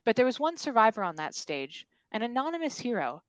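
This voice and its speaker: A woman's voice: on the high side, very animated, fairly quick, dry recording, voice pushed up, poor audio. A woman voicing a frank, absurdly solemn female TV reporter giving a mock news report in a table read.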